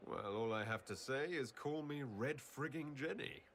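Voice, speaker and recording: A man voicing a steady, courteous heroic leader in a video game, assured and drily humorous. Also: mid-range voice, moderate pace, lightly acted. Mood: wry. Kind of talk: video game cutscene acting.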